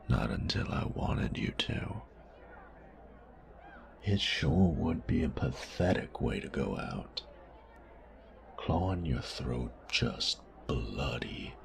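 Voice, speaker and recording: A man playing a menacing, sadistic bandit in an audio roleplay, crude and mocking, with a gravelly, taunting drawl. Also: a bass voice, sing-song, takes it slowly, long pauses, a little hiss or hum, full character voice. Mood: menacing, seductive. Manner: taunting, drawling, drops to breathy murmurs. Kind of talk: audio roleplay drama acting.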